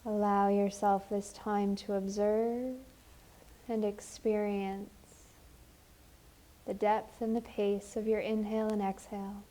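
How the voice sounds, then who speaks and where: Woman, meditation guide, very slow pace, soft-edged mellow tone, subdued intonation, gentle and soothing, long pauses. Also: medium pitch, flat delivery, low-quality recording.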